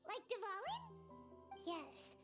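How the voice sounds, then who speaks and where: A woman voicing a tiny, childlike fairy companion in a video game, excitable, curious and exaggerated. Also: extremely slow, heavily acted. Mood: excited, puzzled. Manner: squeaky, exaggerated, speaks of self by name. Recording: game audio with music.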